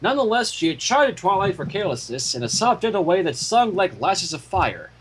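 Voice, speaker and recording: A man with a husky voice reading a story aloud as narrator, in scripted prose. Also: sits high, very animated, a little fast, fairly bright tone, slight background noise, poor audio. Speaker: narrator and fan fiction reader.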